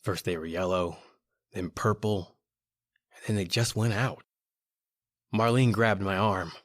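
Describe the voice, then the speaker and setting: A man's voice: on the low side, fairly even intonation, measured pace, no room sound, studio quality, close to an everyday voice. A man acting a desperate young criminal who tells his own story in a horror radio play. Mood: tense narration.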